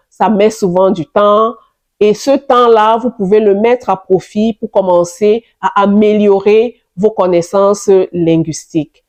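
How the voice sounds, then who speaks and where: A French-speaking woman of middle age talks slowly and carefully to her viewers, laying out advice step by step. She keeps returning to her key points, with a voice of middling pitch and an unhurried pace that leaves room between her phrases. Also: warm tone. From immigrant to Canada, francophone. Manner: slow, deliberate, explanatory, repeats key points. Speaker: vlogger giving immigration advice. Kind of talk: advice vlog talking to camera.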